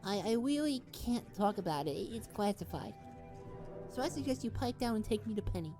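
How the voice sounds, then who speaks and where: A woman's voice: medium pitch, lively intonation, fairly quick, mellow, faint music behind, close to an everyday voice. Melodramatic cartoon hero dog, a woman acting. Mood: guarded, pompous. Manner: melodramatic, pompous. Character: earnest, suspicious, self-important hero dog. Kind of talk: amateur performance of an animated film scene.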